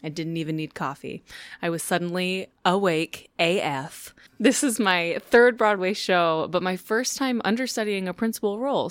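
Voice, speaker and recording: A young adult woman, a musical theatre performer, reading her own personal story in an animated, self-deprecating way. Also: on the low side, smooth, no echo.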